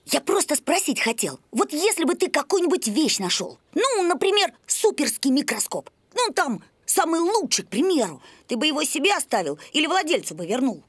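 A woman voicing an earnest, excitable schoolboy in an animated cartoon, boyish and a little hesitant. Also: sits high, normal speed, few pauses, dry recording. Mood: awkward.

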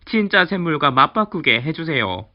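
A man's voice: on the high side, sing-song, moderate pace, muffled recording. A man voicing a greedy, scheming old village man who pleads and wheedles with an official in a storybook reading. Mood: wheedling.

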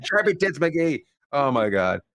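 A man in his forties hosting a comedy panel over a video call, with a gravelly edge to his voice. His pitch swings widely as he teases and mocks his guests. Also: very animated, unhurried, fairly bright tone, no echo. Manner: teasing, mocking. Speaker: comedy show host.

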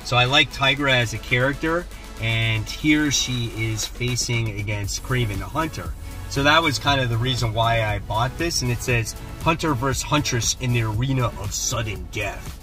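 A man in his forties hosting a casual vlog from a parked car, chatty and relaxed, filmed on a phone at arm's length. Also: mid-range voice, moderate pace, soft background music. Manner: relaxed, chatty, enthusiastic about his finds. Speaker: comic book channel host. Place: parked car, then outdoors and inside a shop. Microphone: phone camera at arm's length.

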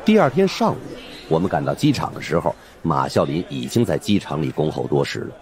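A man's voice: very deep voice, big pitch swings, brisk, darkish tone. A man narrating an audiobook as dramatic, scripted storytelling.

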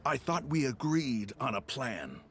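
An actor playing a hardened desert mercenary leader in a video game, passionate, familiar and persuasive in manner. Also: male voice, mid-range voice, very animated, a little slow, a bit bright, soft background music. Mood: insistent. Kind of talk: video game character acting.

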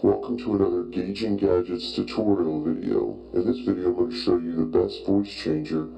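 A man voicing a huge monstrous giant through a pitch-lowering voice changer, reading a tutorial intro. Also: fairly even intonation, normal speed, gravelly, mellow, soft background music, rough recording. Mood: flat, monstrous.

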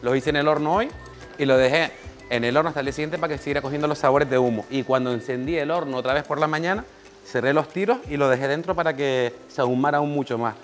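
A man's voice: a little high, fast talker, faint music behind. A man, a chef teaching a cooking class in a small kitchen and guiding an assistant step by step. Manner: practical, directs an assistant at his side. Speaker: chef giving a cooking demonstration.

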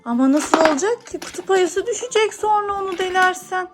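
A woman's voice: extremely high pitch, expressive, a little fast. A woman playing toys with her young son, narrating playfully for children and encouraging him with questions.

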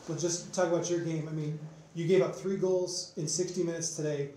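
A man's voice: on the high side, steady tone, fairly quick, dark tone, heavy reverb, rough recording. A male reporter asking long, leading questions at a sports press conference.

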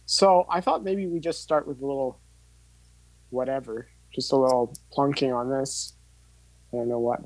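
A man's voice: mid-range voice, steady tone, moderate pace, poor audio. A man in his thirties giving an informal hands-on tutorial, talking casually and thinking aloud as he demonstrates. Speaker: workshop instructor. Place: indoor home studio room with tape gear.

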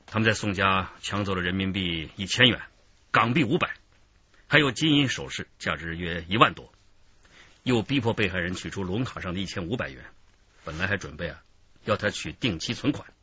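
A man's voice: low voice, expressive, brisk, sharp tone, a little hiss or hum. An actor playing a methodical, considerate male police detective in a radio drama. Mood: analytical. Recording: dull lo-fi radio drama recording.

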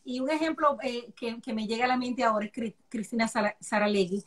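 A woman hosts a conversational interview and agrees readily, often restarting her sentences. Her voice is a little high. She speaks over a remote video call. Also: steady tone, a little fast, far from the mic. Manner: chatty and agreeable, with hesitant restarts. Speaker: host.

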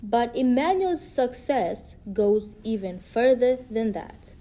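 A woman reading a picture book aloud in a measured way, stressing key words. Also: on the high side, lively intonation, mellow. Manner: measured storybook reading with emphasis. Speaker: student reader.

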